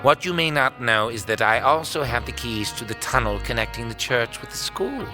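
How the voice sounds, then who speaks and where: An actor playing a sadistic, gloating villain in an audio drama, smug, taunting and menacing. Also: male voice, medium pitch, expressive, moderate pace, lightly acted. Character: sadistic, gloating male villain holding captives. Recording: music under the voice. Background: dramatic background music.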